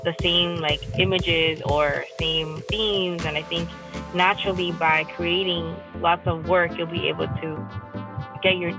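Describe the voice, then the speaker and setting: A woman's voice: on the low side, level tone, unhurried, over music, low-quality recording. A young adult woman from Miami, answering interview questions in a casual, rambling way with many restarts and "like" fillers. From United States (Miami). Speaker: guest artist.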